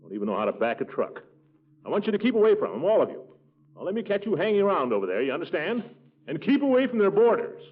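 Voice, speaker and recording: An actor playing a pompous, fussy middle-aged uncle who blusters and gets flustered, in 1940s radio comedy style. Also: male voice, high-pitched, very animated, fast talker. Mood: indignant, blustering. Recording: muffled, tinny 1940s radio recording.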